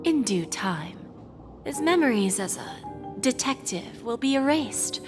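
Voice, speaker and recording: A woman acts as a composed, sly pawnbroker from a game story. Her mid-pitched voice is measured and insinuating, slipping toward a near-whisper. Music plays clearly behind her. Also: close to an everyday voice. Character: sly, composed adult female pawnbroker dealing in desires. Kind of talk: scripted game dialogue, storytelling monologue.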